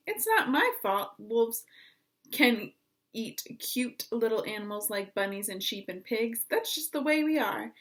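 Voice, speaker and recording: Lady reading as a folksy wolf telling his side in a picture book, chatty n defensive, kinda high voice. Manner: folksy, self-justifying, conversational. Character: chatty wolf storyteller insisting on his innocence. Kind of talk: read-aloud picture book storytelling.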